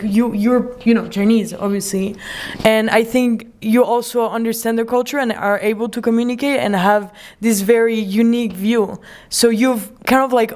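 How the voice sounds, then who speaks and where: A woman's voice: fairly high pitch, a little mellow. A woman hosting a casual conversation, enthusiastic and rambling, often restarting her sentences. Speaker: podcast host.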